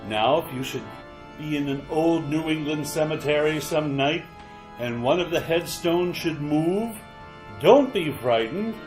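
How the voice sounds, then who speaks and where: A man's voice: fairly high pitch, unhurried, a little mellow, soft background music, lightly acted. A man playing a macabre, wisecracking host of an old-time radio horror show, sly and teasing. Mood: sly, gleeful.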